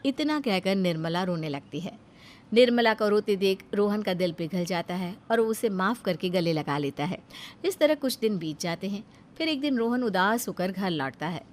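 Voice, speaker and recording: A woman's voice: mid-range voice, lively intonation, normal speed. Woman narrating a children's story.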